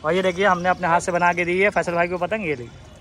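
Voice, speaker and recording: A man giving rapid, excited live play-by-play of rooftop kite fights and talking to viewers. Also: high voice. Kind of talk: live sports commentary. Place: rooftop outdoors.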